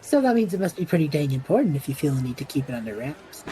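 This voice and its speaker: A woman's voice: sits low, very animated, quick pace, dark tone, a little hiss or hum, poor audio. A woman voicing a cocky, flippant young male student adventurer in an audio drama, breezy, sarcastic and slangy.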